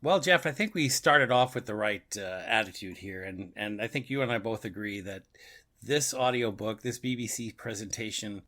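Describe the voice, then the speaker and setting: A man's voice: fairly low pitch, normal speed, bright, forceful tone. A man co-hosting a podcast, casually discussing a story and often repeating words as he thinks aloud. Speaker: podcast co-host. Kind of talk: casual book and radio drama discussion.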